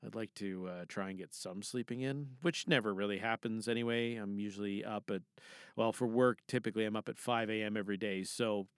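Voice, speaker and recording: A man's voice: fairly low pitch, fairly even intonation, fairly quick, smooth, no echo, studio quality. A man hosting a podcast in a chatty, jokey, theatrical showman manner. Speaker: podcast host. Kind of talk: chatty show introduction.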